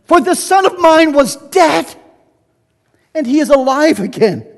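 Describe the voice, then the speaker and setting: A man's voice: extremely high pitch, big pitch swings, unhurried, creaky, pitched above the performer's own voice, exaggerated voice. A man playing a loving, jubilant, forgiving old father in a dramatic Bible reading, exclaiming with emotion. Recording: echoey church hall, long reverb.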